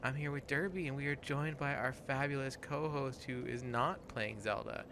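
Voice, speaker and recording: A person with a man's voice hosting a casual gaming let's play, talking in a halting way and swearing freely. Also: mid-range voice, noisy background. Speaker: host and player of a gaming show.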